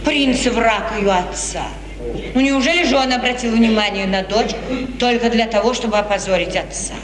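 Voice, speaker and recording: A woman's voice: fairly high pitch, moderate pace, crowd noise, natural voice. An actress playing an anxious, pleading, protective middle-aged mother, expressively declaimed in 1950s Russian radio theatre. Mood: troubled. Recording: noisy, reverberant 1950s radio recording, distant.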